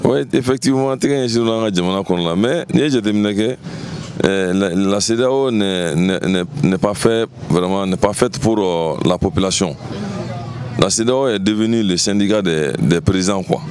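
A man's voice: on the low side, normal speed, a little mellow, slight background noise. A middle-aged man with a Malian accent giving a firm opinion outdoors in a street interview, speaking into a handheld mic. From Mali. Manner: firm, mixes languages. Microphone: handheld mic held by interviewer.

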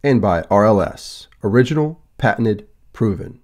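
A man's voice: fairly low pitch, expressive, moderate pace, darkish tone. A man reading a scripted promotional voice-over as a sponsor announcer.

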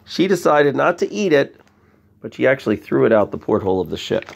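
Creaky-voiced man reading a bedtime story. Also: mid-range voice, fairly quick. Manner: expressive, addresses young listeners with questions. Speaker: storyteller reading a picture book aloud to children. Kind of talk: bedtime story read-aloud with conversational asides.

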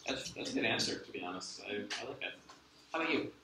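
A young man leading a student class discussion in a relaxed, affirming way, with many fillers. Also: fairly low pitch, quick pace. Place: large lecture hall, recorded from the audience. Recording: echoey hall, far from mic, noisy.

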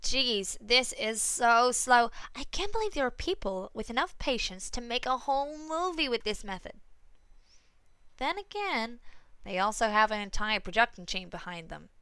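A woman voicing an exasperated, excitable teenage girl filmmaker who talks to herself dramatically in a fan-fiction audio reading. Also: a little high, normal speed, heavy background noise, natural voice. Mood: grumbling, resigned.

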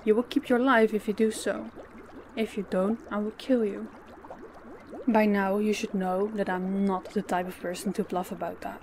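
A woman playing a stern, menacing female boss in an audio drama, speaking in a commanding, deliberate way. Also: medium pitch, level tone, a little mellow, a little hiss or hum, natural voice. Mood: controlled, firm. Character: stern, menacing female crime boss posing as business owner.

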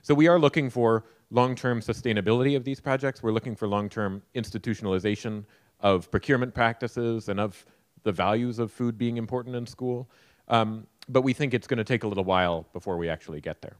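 A man's voice: mid-range voice, fairly quick, no room sound, studio quality. An American man in his thirties answering audience questions as a conference keynote speaker, talking into a handheld microphone. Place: conference hall stage, indoors.

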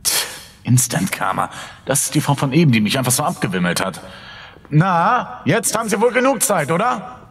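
A man's voice: expressive, moderate pace, fairly bright tone. An actor playing a brash, sarcastic and impatient young man in a horror audio drama. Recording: long reverb, rumbling noise.

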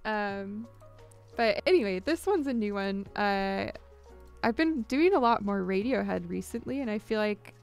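A woman's voice: medium pitch, expressive, unhurried, a little mellow. A young woman chatting casually to viewers on her live music stream, talking close to a studio microphone in a small home studio. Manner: chatty, upbeat, squeals greetings at viewers. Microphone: large studio mic on boom, headphones.

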